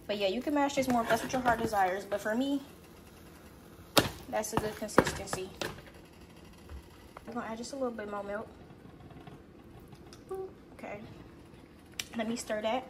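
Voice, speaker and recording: A young woman talks to her viewers while she cooks, calling them y'all. Her voice sits a little high. It is recorded on a phone propped in a home kitchen, and steady noise runs underneath. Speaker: vlogger. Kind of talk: casual cooking vlog chat.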